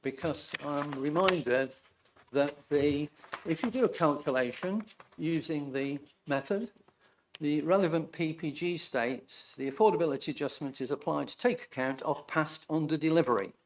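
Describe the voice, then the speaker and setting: A man making a measured, deferential statement at a public hearing, addressing the chair as sir. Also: fairly high pitch, poor audio. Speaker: hearing participant.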